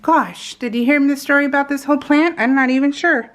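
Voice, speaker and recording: A middle-aged American woman telling personal stories in a casual, informal, animated way, slipping into retold dialogue. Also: high voice, brisk. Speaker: craft video host. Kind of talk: casual chatty storytelling.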